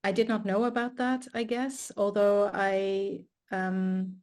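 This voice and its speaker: A woman's voice: mid-range voice, level tone, takes it slowly, darkish tone. A woman, an astrophysicist and artist, talking through her path in a thoughtful, casual way with frequent restarts, recorded over a video call. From Austria. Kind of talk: casual conversation.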